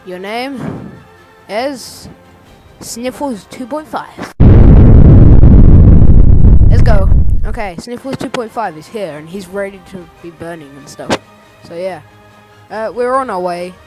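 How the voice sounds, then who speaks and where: A man giving casual, rambling let's-play commentary, playful and easily excited. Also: high-pitched, low-quality recording. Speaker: gameplay commentator. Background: game music.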